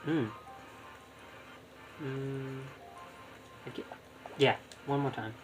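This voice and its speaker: A man's voice: mid-range voice, steady tone, very slow, music in the background, rough recording. A man streaming a video game, thinking aloud in casual, rambling live commentary.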